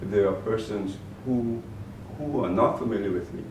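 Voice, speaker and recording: A man with a Bahamian accent, a guest in an interview, answering in a measured way and often repeating words as he gathers his thoughts. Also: on the low side. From Turks and Caicos Islands, raised in the Bahamas. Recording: roomy, distant mic with faint noise.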